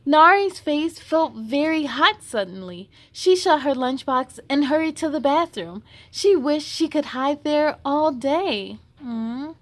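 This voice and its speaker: A woman hosting a children's story time, reading a picture book aloud in an expressive, sing-song way and chatting to young listeners. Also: sits high, slow, hardly any pauses.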